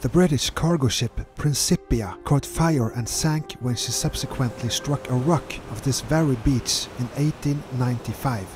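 A man calmly narrating his own sailing voyage in a measured voice-over, with slightly non-native phrasing. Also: mid-range voice, noisy background. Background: music.

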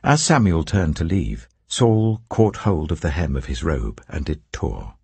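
An older man narrating scripture in a measured, expressive storytelling manner. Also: extremely low pitch, vocal fry, husky, dry recording. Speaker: scripture reader. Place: indoor wood-panelled study.

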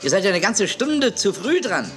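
A man's voice: high-pitched, sing-song, moderate pace, over music. A man playing a scatterbrained, fussy television producer in a children's audio drama, stammering and repeating himself. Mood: flustered, fussy. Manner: stammering, repeating himself, losing his words.

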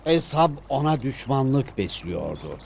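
A man's voice: medium pitch, very animated, a little slow, vocal fry, darkish tone. A man playing a pious villager in a religious cartoon. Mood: pleading. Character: grateful, pious male villager. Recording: very noisy background.

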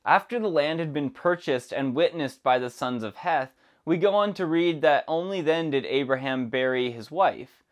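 A young man presenting a scripted Bible lesson in a measured, even reading voice, recorded on a lapel mic in a dark studio. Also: fairly high pitch. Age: young adult. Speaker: Bible teacher. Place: dark studio with plants behind.

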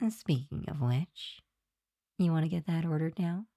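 Woman acting a mellow, low-pitched demon girlfriend. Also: no room sound, studio quality. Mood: tired, cosy. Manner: soft, affectionate, sighing, confiding. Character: sweet, tired demon girlfriend venting after work. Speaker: ASMR roleplay performer. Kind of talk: ASMR roleplay, intimate monologue to listener. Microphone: close microphone, dry room.

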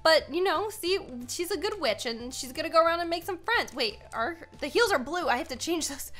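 A young American woman giving casual gaming commentary as host, chatty and expressive, given to exclaiming. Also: very high voice, a little fast. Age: young adult.

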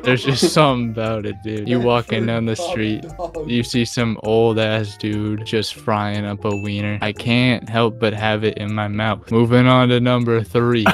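A young man giving a joking slideshow to friends in a casual, slangy, profane way. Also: fairly low pitch. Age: young adult. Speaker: presenter in a group of friends. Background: music.

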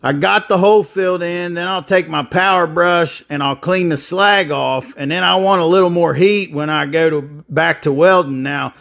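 A man's voice: on the high side, moderate pace, vocal fry, few pauses, a bit bright. A man in his fifties, a welder hosting his channel, explaining his work in casual, practical how-to talk with plenty of filler. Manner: plainspoken, practical, with frequent filler. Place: welding shop and outdoor work site.

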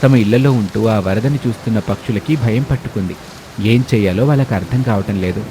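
A man's voice: on the low side, fairly quick, dark tone, slight background noise. A man narrating a scripted children's story as the storyteller.

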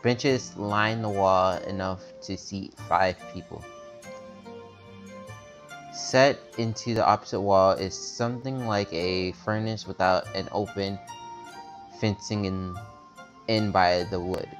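A man casually reading a story game's text aloud and chatting, stumbling over longer words, with soft game music behind him. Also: low voice, steady tone, slow pace. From America. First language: not English. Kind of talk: casual let's-play reading and chat.

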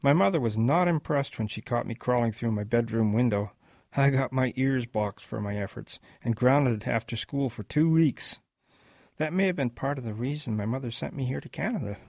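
An older man playing an elderly grandfather, reminiscing in a folksy, gravelly way as he narrates a radio drama. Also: medium pitch, normal speed, a little mellow, dry recording, studio quality. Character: elderly grandfather recounting his wartime boyhood.

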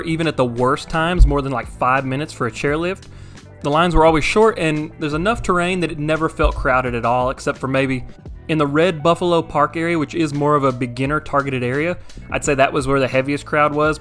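A man's voice: on the high side, fairly bright tone. A man in his thirties giving a casual, enthusiastic, conversational voice-over review as a vlog host. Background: background music.

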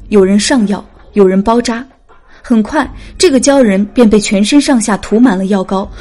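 High mellow female storyteller. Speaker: audiobook narrator. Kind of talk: dramatic scripted story narration.

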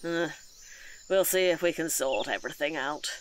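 A male actor playing a calm, weary, gently chiding caretaker in an audio drama. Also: a little high, moderate pace, lightly acted. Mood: reassuring. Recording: audible outdoor nature noise.

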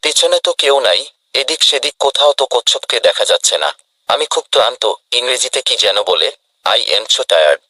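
Boastful cartoon rabbit, played by a man, bright low voice with very animated, exclamatory delivery. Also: normal speed, soft background music. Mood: smug, tired. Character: vain, boastful male rabbit in a race. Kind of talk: children's cartoon dubbing.

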